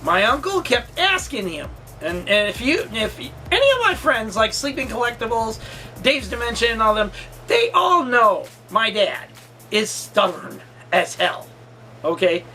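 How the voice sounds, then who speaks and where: Male, 40s, casual storytime vlogger. Bright, forceful delivery, rambling and repetitive, faint music underneath. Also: high-pitched, very animated, unhurried. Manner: rambling, emphatic, repeats himself.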